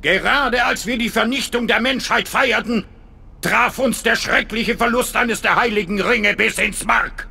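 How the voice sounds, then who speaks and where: A man's voice: high-pitched, measured pace, creaky, sharp tone, breathy edge, exaggerated voice. A man voicing an old alien prophet in a video game, preaching in a solemn, fervent, theatrical way. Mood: solemn, exalted. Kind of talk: cinematic video game acting.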